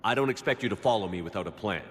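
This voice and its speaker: Actor as human commander, low male voice, direct, firm, earnest, reverberant room, game drama. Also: lively intonation, racing, slight background noise, close to an everyday voice. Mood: resolute, urgent. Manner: terse, firm. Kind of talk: dramatic video game acting.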